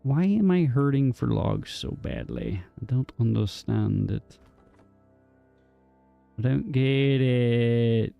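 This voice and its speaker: A man's voice: mid-range voice, expressive, takes it slowly, gravelly, no room sound, lo-fi sound, faint music behind. A man chatting casually with his viewers during a live game stream, rambling with fillers and restarts. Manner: rambling, answers chat with many ums and restarts. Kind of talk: casual chat with viewers while playing.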